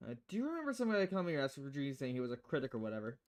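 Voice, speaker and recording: A man's voice: medium pitch, huge pitch swings, very fast, clear, resonant tone. A man voicing a level-headed, wry bartender in a visual novel, speaking in a restrained, polite way. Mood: unimpressed.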